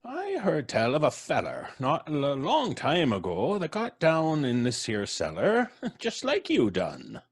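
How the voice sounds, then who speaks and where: An actor playing a gloating old man with a rural American accent and a husky voice, in old-time radio horror style. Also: on the high side, wildly swooping pitch, unhurried, few pauses, full character voice. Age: older. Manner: drawling, chuckling, taunting.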